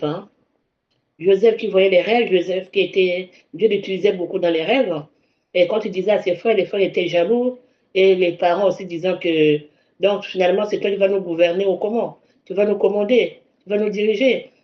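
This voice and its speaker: A woman's voice: lowish voice, muffled recording. A woman with a cold, speaking in a sermon in a hesitant way with frequent fillers. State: congested with a cold.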